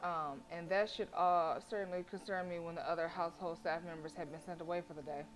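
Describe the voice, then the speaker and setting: A woman game streamer reading visual novel narration aloud, expressive, with casual blunt reactions. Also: lowish voice, a little fast, music in the background.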